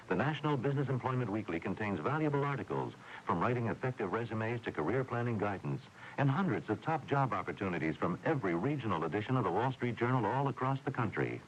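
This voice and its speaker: American male ad announcer, lowish voice with lively swings, old radio recording with faint hiss. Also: rough recording. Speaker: commercial announcer. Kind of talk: scripted radio advertisement.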